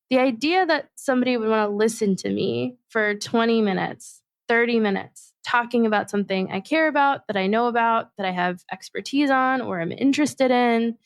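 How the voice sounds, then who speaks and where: A woman hosting her own podcast, talking alone in a thoughtful, measured and personal way. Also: fairly high pitch, vocal fry, dry recording. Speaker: podcast host and facilitator. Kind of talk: reflective solo podcast monologue.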